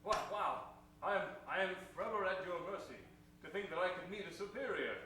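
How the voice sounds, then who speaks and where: A man's voice: high voice, a little fast, sharp tone, heavy reverb, recorded from a distance, slight background noise, poor audio. A man playing an earnest, gallant superhero in an improvised radio parody, with a pompous, stiffly formal way of talking. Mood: awestruck, helpless. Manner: pompous, stilted, heroic. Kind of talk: improvised old-time radio parody acting.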